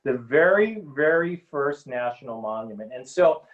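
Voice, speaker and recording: A middle-aged man from Hawaii speaking earnestly as a panel guest on a video call, with many pauses and ums. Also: medium pitch, lively intonation, lo-fi sound. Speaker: panel guest, Native policy advisor. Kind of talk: webinar panel talk.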